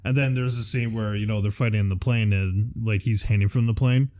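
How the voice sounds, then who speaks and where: A man's voice: lowish voice, steady tone, fairly quick, darkish tone, dry recording, studio quality. A man co-hosting a casual, unscripted chat, talking in a loose, rambling way and sounding tired. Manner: rambling, loosely strung thoughts. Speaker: podcast co-host. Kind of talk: casual unscripted chat about films and games.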